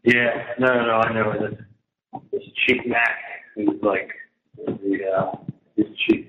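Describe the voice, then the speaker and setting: A man's voice: lowish voice, steady tone, slow. Exasperated man on an echoey, muffled phone. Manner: exasperated, repetitive, recounting at length. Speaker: prison inmate on a phone call. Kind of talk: casual phone conversation, venting. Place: prison, on a recorded phone line. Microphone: telephone handset. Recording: dull echoey phone call, faint noise.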